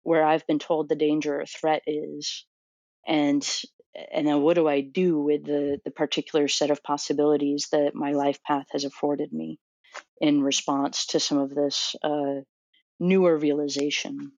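Woman from florida thinking out loud, lots of ums, pretty flat delivery, a bit dull sounding. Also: deep voice, no room sound. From Florida, USA. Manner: halting, many fillers and restarts, thoughtful. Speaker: doctoral student, interview guest. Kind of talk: reflective interview answer.

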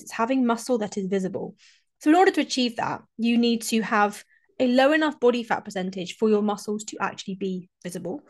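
A woman, hosting a solo podcast in a chatty, enthusiastic way while a little congested from a cold. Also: mid-range voice. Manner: chatty, enthusiastic, repeats and restarts phrases. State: slightly congested, recovering from a cold. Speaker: podcast host and fitness coach.

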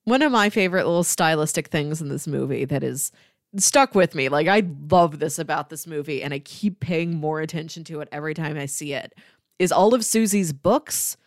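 A woman's voice: low voice, lively intonation, moderate pace, dry recording, studio quality. A woman, a young adult, co-hosting a casual film discussion in an enthusiastic, explanatory way. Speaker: film podcast co-host.

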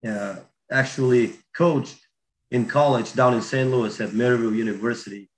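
A man from Bulgaria, giving a casual interview in a halting way that repeats words. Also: mid-range voice, fairly even intonation, low-quality recording. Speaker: guest, wrestler and coach.

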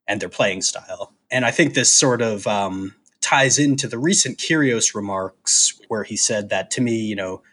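A man co-hosting a podcast, giving casual, opinionated sports commentary in a measured, halting way with frequent pauses. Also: fairly low pitch, fairly bright tone, slightly rough. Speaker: podcast co-host.

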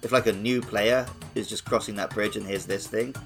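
A man's voice: on the low side, steady tone, normal speed, vocal fry. A man co-hosting a podcast in casual, enthusiastic gaming discussion. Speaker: podcast host. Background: background music.

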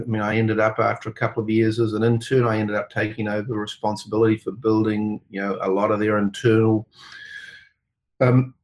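Halting New Zealand man in conversation. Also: lowish voice, rough recording. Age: middle-aged. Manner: halting, rambling, many "you know"s. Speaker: interview guest, tech company co-founder. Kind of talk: conversational interview answers.

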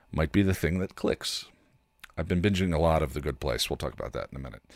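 A man hosting a podcast, talking casually in a halting, self-deprecating monologue as he thinks aloud. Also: on the low side, dry recording, clean studio sound. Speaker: podcast host.

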